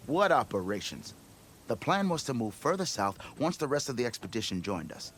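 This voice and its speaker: An actor playing a blustering, scheming expedition leader in a video game, with grandiose storytelling. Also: male voice, mid-range voice, sing-song, fairly quick, a bit bright, a little hiss or hum. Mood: eager, persuasive. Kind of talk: video game cutscene acting.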